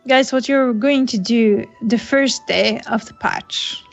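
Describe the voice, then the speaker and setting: A slow, unhurried woman with a fairly high voice hosts a casual gaming webcast, laughing now and then. Her voice comes through a dull, lo-fi call line with faint music behind her. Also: gravelly. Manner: slow, laughs often, non-native English phrasing. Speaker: podcast host.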